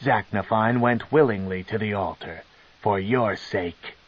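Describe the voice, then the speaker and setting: A man voicing a cold, scheming female dark elf matriarch, with a slow, commanding and sneering delivery. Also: fairly low pitch. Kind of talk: audiobook character reading. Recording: dull lo-fi tape.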